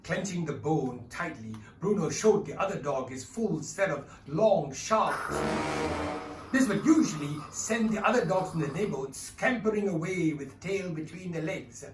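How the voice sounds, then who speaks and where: A man telling a dramatised story for children, expressive and speaking directly to his listeners. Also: a little high, unhurried, background noise. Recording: roomy, distant mic with faint music.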